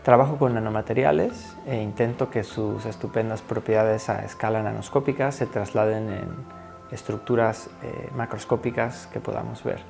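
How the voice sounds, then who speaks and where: A man with a Mexican Spanish accent, a researcher speaking in a measured, articulate way in an interview. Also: lowish voice, fairly even intonation, gravelly, a little mellow, faint music behind. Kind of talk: interview answers.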